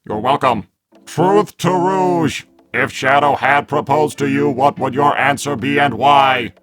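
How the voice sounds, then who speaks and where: Man voicing a blunt, slow-talking robot. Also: mid-range voice, fairly bright tone, lightly acted. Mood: matter-of-fact. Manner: stiff, deliberate, formal delivery. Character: blunt, literal-minded male combat robot. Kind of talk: fan-made cartoon dub.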